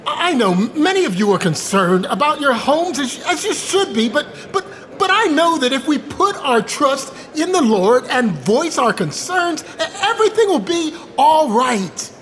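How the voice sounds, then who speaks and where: High-voiced, stammering anxious pastor. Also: male voice, big pitch swings, moderate pace, few pauses, fairly bright tone, big-room sound, full character voice. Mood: nervous, reassuring. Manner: stammering, placating. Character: anxious, well-meaning neighborhood pastor calming a crowd. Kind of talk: audio drama acting.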